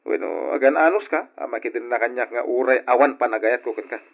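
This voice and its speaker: A man with an Ilocano Filipino accent playing a smooth-talking, callous philanderer in a radio drama. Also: mid-range voice, fairly quick, natural voice. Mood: cold, irritated. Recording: dull old radio drama recording.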